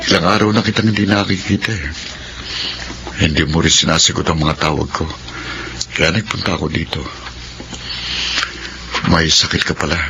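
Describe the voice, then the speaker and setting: A man playing an earnest, devoted suitor with a measured, sincere delivery in a Filipino radio drama. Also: low voice, fairly even intonation, over music. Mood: tender, earnest. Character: earnest devoted man confessing long-hidden love to his partner.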